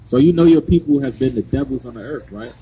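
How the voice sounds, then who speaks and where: A man's voice: mid-range voice, fast talker, warm tone, slight background noise, low-quality recording. A man preaching on the street, pressing his points with repeated questions. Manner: insistent, hammers points with repeated "right?".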